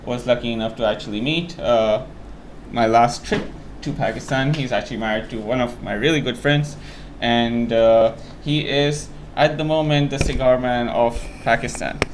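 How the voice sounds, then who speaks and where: A man's voice: mid-range voice, moderate pace, slight background noise. Casual, rambling male interview host.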